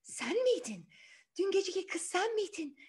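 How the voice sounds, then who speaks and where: A woman's voice: extremely high pitch, big pitch swings, normal speed, pitched above the performer's own voice. A woman voicing an earnest, courteous young prince in a children's fairy tale, speaking politely and emphatically. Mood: amazed, joyful.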